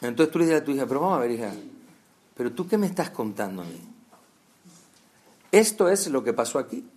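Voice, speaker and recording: A man's voice: mid-range voice, big pitch swings, fairly quick, darkish tone. A man from preaching a conversational sermon, talking straight to his listeners with rhetorical questions.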